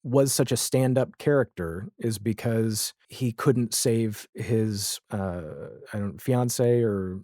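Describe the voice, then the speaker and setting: A man speaking as a podcast guest in a casual, reflective conversation, with halting, thoughtful phrasing. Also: lowish voice, warm tone, dry recording, clean studio sound.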